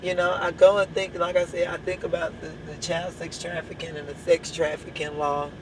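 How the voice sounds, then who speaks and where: A hoarse woman, a church leader giving an impassioned address full of repeated rhetorical questions. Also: lowish voice, little pitch movement, moderate pace, noisy background.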